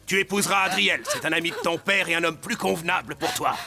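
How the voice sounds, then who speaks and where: A man voicing a stern, cold royal envoy in a dubbed animated Bible drama. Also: on the high side, fast talker, gravelly. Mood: stern, commanding.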